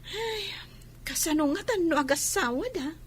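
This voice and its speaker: A woman playing an animated, opinionated young female cousin in a radio drama. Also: very high voice, normal speed. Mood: questioning.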